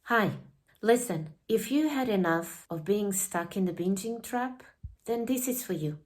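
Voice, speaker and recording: A woman's voice: medium pitch, expressive, unhurried, a little mellow. Woman doing an ad read, mid voice, pushy in a friendly way. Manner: direct, persuasive. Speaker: advertiser. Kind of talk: scripted ad read.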